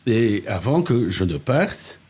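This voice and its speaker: An older man from Congo, a mayor telling animated anecdotes in a casual interview, with restarts and asides, recorded on a living-room podcast set. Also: on the low side, takes it slowly, slightly rough. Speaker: mayor, political guest.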